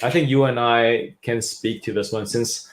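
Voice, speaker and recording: Canadian-raised man, guest expert, explains at length with restarts and tag questions, mid-pitched voice. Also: steady tone, moderate pace. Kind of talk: interview talk.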